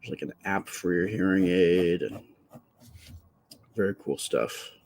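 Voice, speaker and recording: A man with a quiet, soft voice chatting casually with viewers during a live stream while he draws. He rambles and stops to restart his sentences, speaking close to the microphone. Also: low-pitched, creaky, a little hiss or hum. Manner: rambling, halting, with many fillers and restarts.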